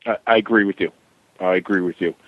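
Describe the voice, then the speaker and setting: A man being interviewed who speaks in a measured, hesitant way with many fillers. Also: sits low, fairly even intonation, narrow, tinny audio. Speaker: interview guest. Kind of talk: casual phone interview.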